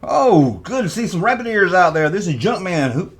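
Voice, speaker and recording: Folksy, lively male toy reviewer. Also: sits high, moderate pace. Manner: folksy, sighing, repeats himself. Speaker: toy review video host. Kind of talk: casual chat with playful CB slang. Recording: faint background noise.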